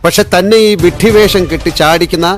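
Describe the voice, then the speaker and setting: A man's voice: high voice, steady tone, a little fast, natural voice. An actor playing an angry, insulting man in a film, with heated delivery. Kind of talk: film dialogue.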